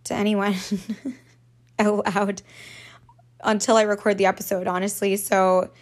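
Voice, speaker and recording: A woman hosting a casual solo podcast, her voice raspy and hoarse from just waking up, rambling along with frequent laughs and casual swearing. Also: medium pitch, level tone, unhurried. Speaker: podcast host.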